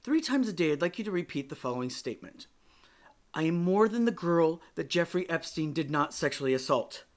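A man voicing a concerned woman psychiatrist who speaks in a measured, coaxing way. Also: fairly high pitch, big pitch swings. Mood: instructive.

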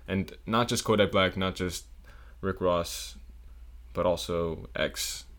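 A man's voice: deep voice, steady tone, slow pace, fairly bright tone. A young man from South Florida, a student guest on a casual podcast, speaking thoughtfully with many hesitations and ums. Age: young adult.

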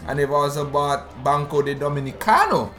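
A man's voice: on the high side, expressive, normal speed, fairly bright tone. A man from the Eastern Caribbean co-hosting a talk show, explaining finance news in a conversational way with frequent restarts. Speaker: podcast co-host. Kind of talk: conversational analysis.

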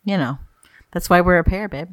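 A woman's voice: lowish voice, a little fast, dry recording. A woman with a rich voice, co-hosting a podcast in casual, teasing chat. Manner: playful teasing. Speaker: podcast co-host.

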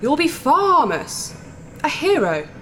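A woman playing a massive, imperious alien princess in a sci-fi audio drama, speaking in formal, measured and blunt phrases. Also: high-pitched, wildly swooping pitch, fairly bright tone, a little hiss or hum, exaggerated voice. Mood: regal, matter-of-fact. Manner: formal, measured, regal diction.

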